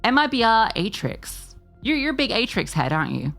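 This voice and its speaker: A woman's voice: fairly high pitch, sing-song, a little fast, vocal fry, fairly bright tone, soft background music. A woman commentating an esports match, with playful, teasing banter toward her co-caster. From Australia. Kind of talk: live game commentary and casual chat.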